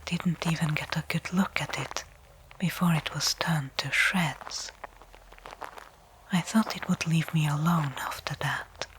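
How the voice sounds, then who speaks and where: Casting: woman acting as a tense stranded astronaut for ASMR sci-fi roleplay; low, breathy whisper, deliberate delivery. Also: low-quality recording. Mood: uneasy, wary. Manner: slow, hushed, deliberate phrasing with pauses. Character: tense woman space explorer stranded on alien planet. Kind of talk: whispered ASMR audio roleplay, first-person monologue.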